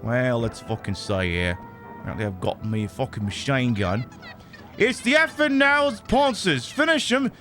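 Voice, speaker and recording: A man's voice: mid-range voice, big pitch swings, normal speed, voice pushed down. A man streaming a video game in a put-on British accent, voicing a cheeky, foul-mouthed, exasperated bloke who rambles through live commentary with mock indignation. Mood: wry, mock-incredulous. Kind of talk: improvised live gaming commentary. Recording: music behind the voice. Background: game music.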